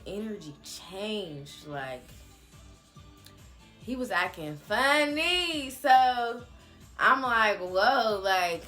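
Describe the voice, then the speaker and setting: A young woman telling a personal story in a casual, candid, slangy vlog style with animated asides. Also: mid-range voice. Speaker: vlogger.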